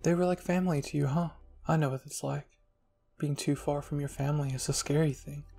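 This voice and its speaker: A man voicing a kind, curious young woman soldier in an anime fan fiction reading, soft, friendly and encouraging. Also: a little high, fairly even intonation, a little fast, gravelly. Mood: kind, soothing.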